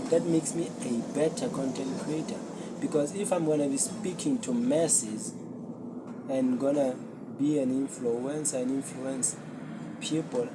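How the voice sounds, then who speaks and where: A young man, a Zulu speaker, hosting his own podcast and talking earnestly and reflectively to a camera with many pauses. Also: mid-range voice, noisy background. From South Africa. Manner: slow, earnest, self-searching, with pauses. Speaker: podcast host. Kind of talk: solo reflective talk to camera.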